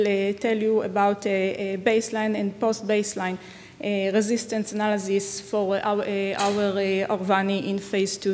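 A woman's voice: mid-range voice, darkish tone. A woman in her thirties from Israel with an Israeli accent, giving an academic conference talk at a podium microphone, hesitant and full of fillers. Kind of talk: academic lecture. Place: lecture hall at a podium. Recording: echoey hall with faint noise.

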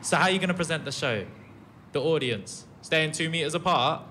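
Young British cameraman, slightly high voice, blunt, casual, matter-of-fact, naturalistic audio drama, light ambient noise. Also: fairly quick, pushed and bright. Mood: blunt, insistent. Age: young adult. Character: blunt, laid-back young man behind the camera. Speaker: actor in a fiction podcast. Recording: faint ambient background noise.

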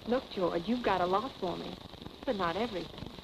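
Actress as a scheming forties femme fatale, hissy soundtrack. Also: female voice, mid-range voice, fairly quick, creaky, natural voice. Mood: cool, belittling. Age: young adult. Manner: cool, coaxing, condescending. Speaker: film actor. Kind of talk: 1940s film acting. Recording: noisy vintage film soundtrack.

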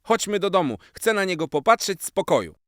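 A man voicing an excitable, affectionate seven-year-old boy in a children's story, eager and exclaiming. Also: sits high, brisk, no echo, clean studio sound, voice pushed up. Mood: excited.

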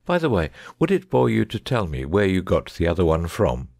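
A man's voice: sits low, wildly swooping pitch, fairly quick, gravelly, darkish tone, dry recording, studio quality, exaggerated voice. A man playing a shrewd, self-assured detective in a dramatised audiobook, speaking precisely and formally. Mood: courteous, probing.

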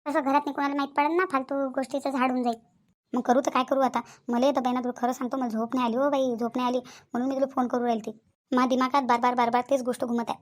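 A woman's voice: sits high, little pitch movement, rapid-fire, close to an everyday voice. A woman voicing anxious village women in a cartoon family drama, speaking colloquial Vidarbha Marathi in a fretful, emphatic, repetitive way. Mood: worried.

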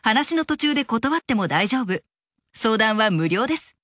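A woman's voice: on the high side, very animated, moderate pace, fairly bright tone, no room sound. Woman reading a dry studio radio advert. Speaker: commercial announcer for a law office. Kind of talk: scripted radio advert narration.

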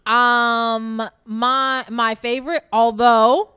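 Woman, comedian guest, high lively voice, dry close sound, playful and emphatic. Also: very slow, clear, resonant tone, no echo. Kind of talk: casual comedic chat. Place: small podcast studio with couches. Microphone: handheld mic held close.

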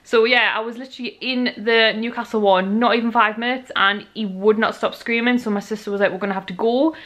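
A tired woman in her twenties with a croaky voice, chatting rambly to camera at home. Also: a little high, fairly even intonation, brisk, fairly bright tone. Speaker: vlogger. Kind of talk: casual chat to camera. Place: small bedroom at home. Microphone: handheld camera at arm's length.

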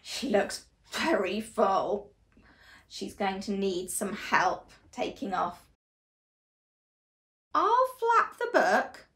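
A young woman reading a picture book aloud to small children in an animated, expressive, deliberate storytelling manner. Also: mid-range voice. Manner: exaggerated, expressive, slow and deliberate delivery to children. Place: small living room, on a sofa indoors.

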